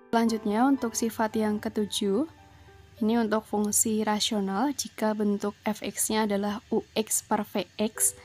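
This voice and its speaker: Calm methodical Indonesian woman teacher. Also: medium pitch. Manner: calm, methodical, explains each step aloud. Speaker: math teacher. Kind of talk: step-by-step math lesson.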